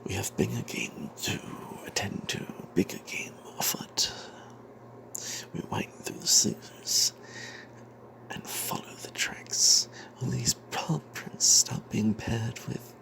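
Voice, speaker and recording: A man's voice: mid-range voice, rough recording. Man whispering a secretive fantasy tale. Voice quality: breathy, unvoiced whisper. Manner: hushed and secretive, with dramatic pauses. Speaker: narrator telling the tale in character as a cleric. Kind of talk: whispered ASMR storytelling of a tabletop fantasy adventure.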